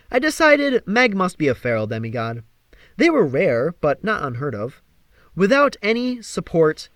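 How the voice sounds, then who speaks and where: A man reading a fantasy novel aloud as an expressive, theatrical audiobook narrator. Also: fairly high pitch, no room sound. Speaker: audiobook reader.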